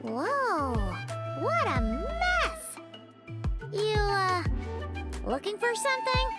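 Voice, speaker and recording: A woman voicing a tiny, excitable childlike fairy companion in a video game, squeaky and bubbly. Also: very slow, loud music behind the voice, exaggerated voice. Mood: surprised. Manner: exclamatory, bubbly.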